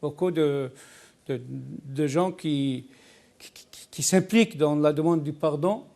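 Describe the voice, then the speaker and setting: A man with a fairly high but soft, mellow voice talks about faith as a panel guest. He explains haltingly, repeating words as he builds each point. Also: creaky. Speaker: religious scholar guest. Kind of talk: religious talk show discussion.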